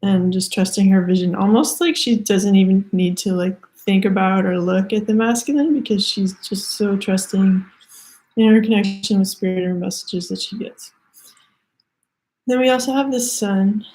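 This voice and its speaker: A woman with a Canadian accent giving a halting, thoughtful spiritual card reading, full of fillers and restarts. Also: mid-range voice, poor audio. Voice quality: soft-spoken, hesitant, many fillers and restarts. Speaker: tarot reader.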